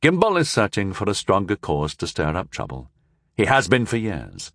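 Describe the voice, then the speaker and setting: A man voicing a courteous noble lord in an audiobook, quietly giving discreet advice. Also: low voice, wildly swooping pitch, normal speed, dry recording, studio quality, exaggerated voice. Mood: quiet counsel. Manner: quiet, advising.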